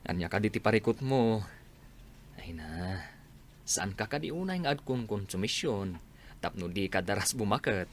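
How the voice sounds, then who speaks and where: A man acts out a practical social worker in an Ilocano radio drama. The voice is on the low side, with an Ilocano accent and an advising, matter-of-fact manner. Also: expressive, moderate pace, slight background noise, natural voice. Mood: concerned advice.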